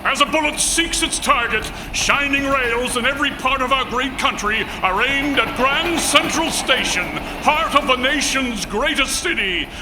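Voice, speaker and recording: A man's voice: very high voice, normal speed, few pauses, background noise. A man delivering grandiose, declamatory narration in the style of 1940s radio drama. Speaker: announcer.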